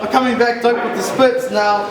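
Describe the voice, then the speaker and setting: A man's voice: very high voice, fairly even intonation, moderate pace. A man performing profane, confrontational a cappella battle rap. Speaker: battle rapper. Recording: echoey hall, loud crowd, long reverb. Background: crowd noise.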